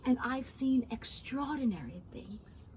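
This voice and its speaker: A well-travelled, mysterious male frog from a storybook, played by a woman reader. He talks slowly in a high voice, savouring each word with proud emphasis. There is faint noise in the recording. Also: animated. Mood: mysterious, marvelling. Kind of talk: children's storybook read-aloud.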